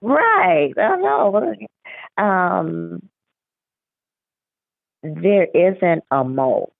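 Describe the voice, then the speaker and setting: A woman's voice comes through a narrow, phone-like connection with plenty of vocal fry. She speaks as an author being interviewed, explaining things in a reflective, conversational way. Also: on the low side, sing-song, extremely slow, no room sound. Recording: tinny, narrow phone-like line.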